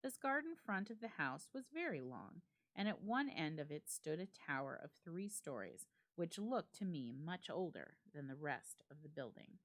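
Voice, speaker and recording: A woman reading a classic ghost story aloud as a dramatic narration, in a measured, formal literary delivery. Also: low-pitched, very animated. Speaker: library staff member reading a story aloud.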